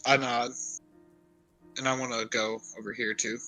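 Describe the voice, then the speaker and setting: Bright-voiced man in a tabletop game. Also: mid-range voice, little pitch movement, moderate pace, poor audio. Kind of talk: casual in-game chat. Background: faint music.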